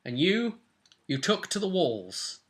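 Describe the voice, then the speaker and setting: A man's voice: high voice, very animated, slow. A man in his twenties casually recapping a tabletop role-play session as game master, telling it with dramatic flair in a room at home. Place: small furnished room at home, indoors.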